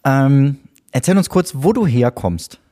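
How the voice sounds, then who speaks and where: A man hosting a parish podcast, talking in a chatty, relaxed way with friendly asides. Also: medium pitch, a little slow. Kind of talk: casual podcast interview.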